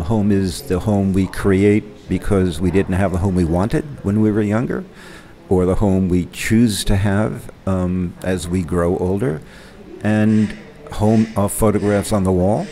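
A man, a gallery member and poet, musing casually about his work with frequent pauses and fillers. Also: low-pitched, dark tone. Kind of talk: casual artist statement.

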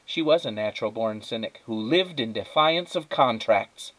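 A man's voice: mid-range voice, expressive, moderate pace, a bit bright, no echo, poor audio. A man narrating a children's storybook aloud, as a teacher does. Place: indoors at a wooden table.

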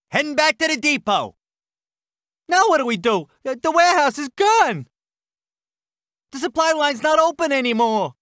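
Brash US Army transport driver for a strategy game: American, high, forceful voice, clipped slangy barks. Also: male voice, no echo, studio quality, full character voice. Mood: businesslike, then grumbling. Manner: punchy, clipped, slangy soldier delivery. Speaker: game unit voice actor. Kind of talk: video game unit barks.

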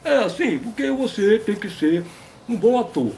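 A man puts on a boastful old grandpa puppet with a very high voice. He is folksy and pompous, lecturing like a veteran of stage and war in a comedy sketch, with faint noise behind. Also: very animated, a little slow, darkish tone, heavily acted. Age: older. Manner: pompous, folksy, repeats a stock affirmation. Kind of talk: comic puppet interview.

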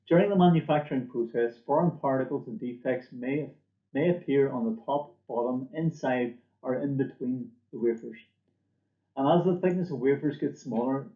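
A man giving a scripted product presentation for a company, in a measured, formal manner, recorded at a desk in a small room. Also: medium pitch, echoey room, phone-quality sound. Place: small indoor office or lab room.

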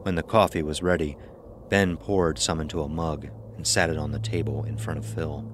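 A man's voice: extremely low pitch, fairly even intonation, normal speed, background noise. A man narrating a scripted horror story, with ambience behind him.

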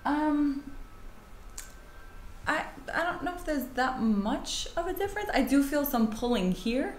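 A woman's voice: high voice, lively intonation, unhurried, slight background noise. A young adult woman, a patient casually reacting and answering during hands-on treatment. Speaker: chiropractic patient. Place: small indoor treatment room.

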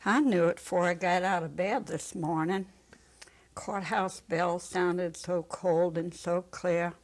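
Elderly woman, homely and childlike, excitable but unhurried, played by an older woman in a staged story reading. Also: fairly low pitch. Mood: excited. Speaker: dramatic reader. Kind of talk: dramatic reading of a short story. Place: indoor studio set. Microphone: lapel.

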